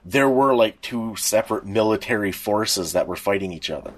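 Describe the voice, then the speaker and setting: A man co-hosting a podcast, chatting informally and haltingly as he recalls things. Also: fairly low pitch. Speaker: podcast co-host. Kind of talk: casual chat.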